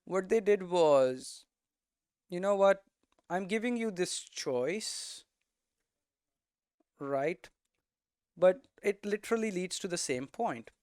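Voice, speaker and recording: A man with a smooth, clear voice pitched slightly high gives an informal explainer. He thinks aloud in a conversational way, tagging his points with little check-ins, and the sound is close and dry. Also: animated, slow, long pauses, a little mellow, dry recording. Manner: conversational, thinking aloud, frequent "you know" and "right?" tags. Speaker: game development streamer and teacher.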